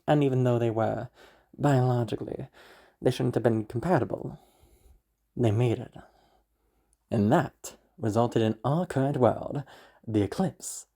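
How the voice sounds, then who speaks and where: Very low, gravelly magical narrator machine acted by a woman, formal, measured and theatrical, for a fantasy audio drama. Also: dark tone, heavily acted. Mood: stately, courteous. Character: formal magical storytelling machine that glitches into menace. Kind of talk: scripted narration.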